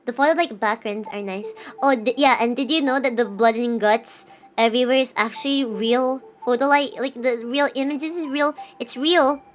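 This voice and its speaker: A woman's voice: on the high side, normal speed. A woman streamer reading a visual novel aloud on a casual livestream, breaking off for chatty, giggly asides. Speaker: VTuber streamer. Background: soft game music.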